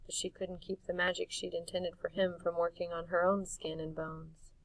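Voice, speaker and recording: A woman reading a storybook aloud as an audiobook narrator, in an expressive storytelling style. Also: lowish voice, moderate pace, dry recording, far from the mic, poor audio. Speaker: audiobook reader.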